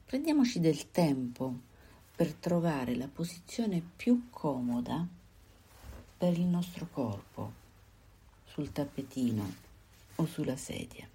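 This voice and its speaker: A woman guiding a body scan meditation, giving calm, unhurried instructions in short phrases with pauses. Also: low voice, slightly rough. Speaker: meditation guide.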